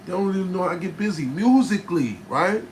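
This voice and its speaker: A man from New Jersey, a rapper, telling a studio story in casual, profane style with a raspy voice, often asking "right?". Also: sits high, fast talker. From New Jersey, USA. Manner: animated, profane, keeps checking in with "right?". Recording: noticeable background noise.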